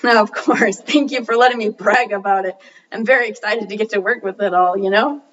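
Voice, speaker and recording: A woman's voice: medium pitch, a little fast, a bit bright, recorded from a distance, highs cut off. A young woman presenting as a student, giving scripted explanations in a puppet show dialogue. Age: young adult.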